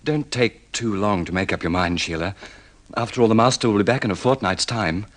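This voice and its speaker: An actor playing an earnest, courteous, persuasive suitor with gentlemanly polish in an old-time radio drama. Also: male voice, lowish voice, fairly quick, close to an everyday voice. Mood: hopeful, persuasive. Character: earnest, smooth-talking suitor, self-made man with gentlemanly polish. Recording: vintage radio drama recording.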